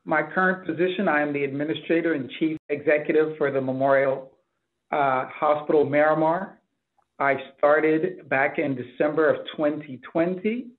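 Middle aged guy from the bahamas, exec being interviewed, bit higher voice, tinny video call sound. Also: fairly even intonation, moderate pace. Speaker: hospital chief executive being interviewed. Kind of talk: interview answers about career. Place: office, indoors. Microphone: computer mic on a video call. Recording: thin, phone-like video call audio.

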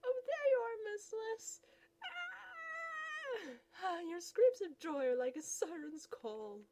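A woman's voice: very high-pitched, animated, slow pace, a little mellow, pitched above the performer's own voice, full character voice. A woman doing a gushing lovesick suitor. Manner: gushing, theatrical. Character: lovesick pompous young man suitor.

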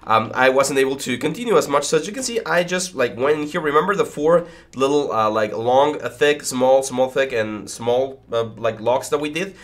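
A man's voice: mid-range voice, expressive, moderate pace, hardly any pauses. A man in his thirties, a little congested, teaching 3D modelling in a casual tutorial, rambling with lots of fillers and stammers, recorded on a headset mic in a small home studio. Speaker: 3D modelling instructor. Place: small home studio room with foam panels. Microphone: headset with boom mic.

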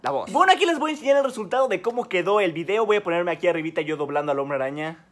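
High-voiced young Mexican guy vlogging. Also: racing. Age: young adult. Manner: animated, joking. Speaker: YouTuber. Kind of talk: casual vlog chat. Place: small home room.

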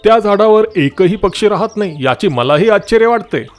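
A man's voice: sits high, animated, brisk, soft background music, voice pushed up. A man voicing a puzzled, earnest male myna bird asking questions in a children's cartoon story.

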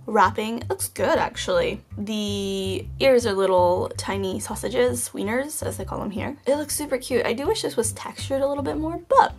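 Slightly hoarse woman chatting through a toy unboxing. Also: fairly high pitch, moderate pace, few pauses, faint music behind. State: hoarse from just waking up. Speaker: toy unboxing channel host. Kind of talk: casual unboxing chat. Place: indoors at a table. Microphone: off-camera, hands-only tabletop shot.

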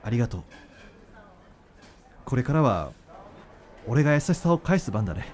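A man's voice: mid-range voice, sing-song, normal speed, darkish tone, slight background noise, natural voice. A man acting in a radio drama as an earnest young doctor in love, speaking informally and from the heart. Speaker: voice actor.

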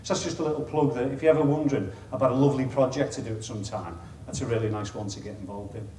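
A man's voice: medium pitch, fairly even intonation, racing, mellow. A middle-aged man with a Liverpool accent talks to a meeting room full of people, chatty and wry. His voice sounds roomy and a bit distant from the mic, with a faint hiss underneath. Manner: chatty, self-deprecating, wry asides. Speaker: chief executive giving a conference talk. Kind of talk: informal presentation to an audience. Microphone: lapel mic.

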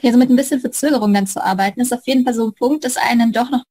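Woman, thirties, conversational interviewer with a slightly high pitch. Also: racing, dark tone, breathy edge. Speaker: interviewer, co-host. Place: indoor room with bookshelves.

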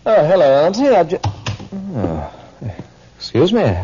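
An actor playing an affected, condescending society nephew in a 1950s radio drama. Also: male voice, a little high, wildly swooping pitch, slow pace, mellow, a little hiss or hum, exaggerated voice. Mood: suave, smug. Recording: dull lo-fi old radio broadcast.

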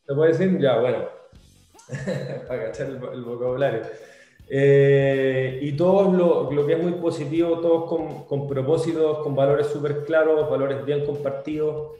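Relaxed young man in an echoey room. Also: mid-range voice, measured pace, highs cut off. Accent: Chilean Spanish. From Venezuela. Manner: relaxed, chatty, many restarts and slang. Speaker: guest, startup founder. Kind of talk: casual interview chat. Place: home room on a video call. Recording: very echoey room, faint music.

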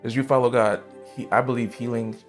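A man in his thirties, a pastor preaching a sermon from a church stage, fervent and emphatic, jumping from loud bursts to quiet asides. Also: medium pitch, fairly even intonation, fairly quick, soft background music. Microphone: headset mic.

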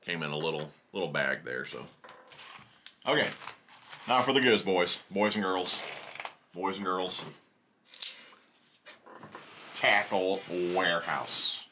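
A man's voice: low voice, a little slow, pauses often, sharp tone, a little hiss or hum. A man in his thirties chatting casually through a fishing tackle haul, rambling and thinking aloud at his desk in a small indoor tackle room. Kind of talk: casual unboxing haul video.

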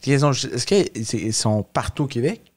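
A man's voice: mid-range voice, expressive, a little slow, darkish tone. A young man with a Quebec French accent hosts a casual interview on a close studio mic. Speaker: youth radio show host. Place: small indoor radio studio. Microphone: close studio mic on boom arm.

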